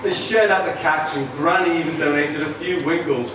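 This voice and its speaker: A man's voice: fairly high pitch, normal speed, very noisy. A man telling a scripted puppet-show story as narrator at a live performance. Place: live puppet theatre show, far from mic. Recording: echoey hall, distant mic, loud music.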